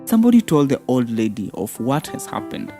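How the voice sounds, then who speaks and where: A woman's voice: very deep voice, big pitch swings, brisk. A woman narrating a scripted fairy tale for children as a storyteller. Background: soft background music.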